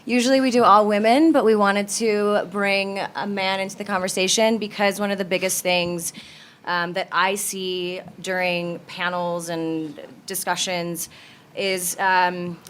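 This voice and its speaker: Earnest woman co-hosting, medium pitch. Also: flat delivery, measured pace, fairly bright tone, clear, resonant tone. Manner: earnest, conversational. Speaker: panel co-host. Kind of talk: casual roundtable talk. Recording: faint background noise, live room ambience.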